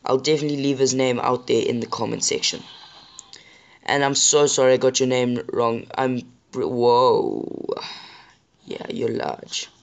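Chatty gamer with a sore throat. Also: male voice, medium pitch, steady tone, a little slow, vocal fry, highs cut off. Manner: chatty, apologetic, addresses viewers directly. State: sore throat, voice a bit rough. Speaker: gaming channel host. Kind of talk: casual gameplay commentary.

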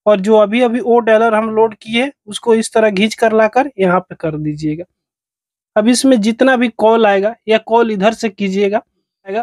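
A man's voice: high voice, a little mellow, no echo. A man narrates a step-by-step phone tutorial as its host, in repetitive, instructional phrasing.

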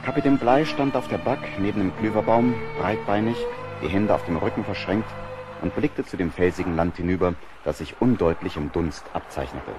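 An actor as the sober narrator of a sea adventure radio play, giving measured, scripted storytelling. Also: male voice, low voice, over music, natural voice.

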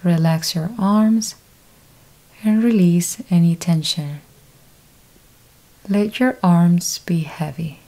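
A woman guides a deep-rest meditation, speaking very slowly with long pauses between short instructions. Her voice is low-pitched and mellow, with a relaxed, unhurried delivery throughout. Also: rough recording. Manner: slow, calm step-by-step instructions with long pauses. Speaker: yoga nidra teacher.

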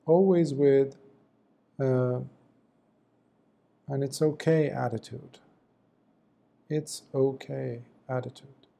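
Very mellow, slow-speaking man guiding meditation. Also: medium pitch, fairly even intonation, vocal fry. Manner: gentle, unhurried, with long pauses between short phrases. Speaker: meditation teacher, Buddhist monk. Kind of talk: guided meditation, slow spoken instruction.

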